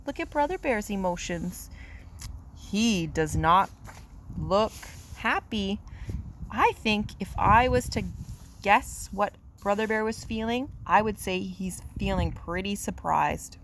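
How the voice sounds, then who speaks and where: A woman's voice: mid-range voice, takes it slowly, slight background noise, low-quality recording. A woman reading a children's picture book aloud in a simple, teacherly, explanatory manner. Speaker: read-aloud storyteller.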